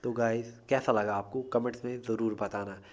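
A man's voice: mid-range voice, steady tone, moderate pace. A man with an Indian accent giving a casual tutorial voice-over as a video host, informal and often checking in with a short tag phrase. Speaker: YouTube tech tutorial host. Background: music.

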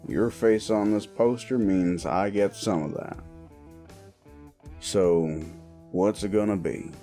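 Faint guitar music sits behind a man performing as a gruff cowboy bounty hunter in a Western audio drama. He talks slowly in a lowish voice. His manner is folksy and world-weary, threatening but wry. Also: warm tone. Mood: stern, weary. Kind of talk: improvised Western audio roleplay.

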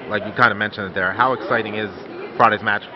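A man's voice: lowish voice, steady tone, fast talker, crowd noise. A man working as a reporter, asking a coach questions after a match, with a scripted intro and casual follow-ups. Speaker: student reporter. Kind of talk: press interview questions. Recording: lo-fi with background noise.

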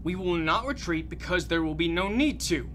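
Brash, high-pitched military commander, acted by a man. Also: animated, normal speed, a bit bright, a little hiss or hum. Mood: brash confidence. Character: overconfident, bullish male American military commander. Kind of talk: audio drama acting.

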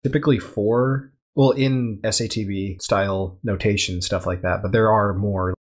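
A man's voice: fairly low pitch, fairly even intonation, moderate pace. Thoughtful man co-hosting a podcast. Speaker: podcast co-host. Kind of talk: casual podcast chat.

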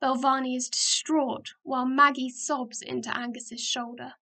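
A woman's voice: high voice, little pitch movement, normal speed, pushed and bright. A woman reading stage directions as scripted narration for a radio play.

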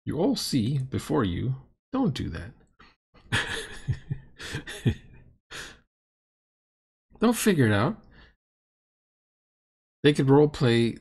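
Animated, husky-voiced man commentating. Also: medium pitch. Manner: exasperated, mocking, emphatic. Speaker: host reacting to a game recording. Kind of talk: casual critical commentary. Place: dim room indoors.

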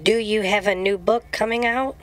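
A woman voicing an eager, polite skeleton detective reporter in a children's radio drama, deliberate and theatrical. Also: mid-range voice, fairly even intonation, a bit bright, natural voice.

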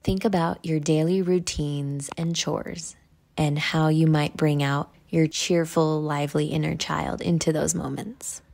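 A woman leading a guided meditation in a measured, instructional way. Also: low voice, steady tone, creaky, a little mellow.

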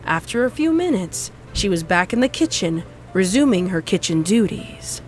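A woman's voice: mid-range voice, sing-song, normal speed, darkish tone. A woman reading scripted story narration.

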